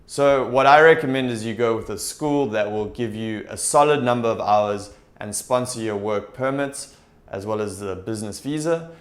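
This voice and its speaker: Young man giving steady camera advice. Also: lowish voice, moderate pace. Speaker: TEFL recruiter and vlogger. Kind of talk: direct-to-camera advice talk. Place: small indoor room with shelves.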